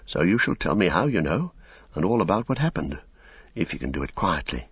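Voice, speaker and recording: A man voicing a weary, cautious, gentle male hobbit in a storybook, speaking in a hushed, measured and grave manner. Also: deep voice, sing-song, husky, no room sound, dull audio, exaggerated voice.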